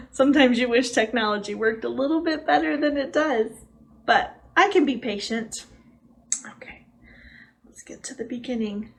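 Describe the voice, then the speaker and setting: A woman's voice: sits high, measured pace, vocal fry, long pauses. A woman hosting a children's storytime, reading a picture book aloud with simple, chatty asides to the young listeners. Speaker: storytime host.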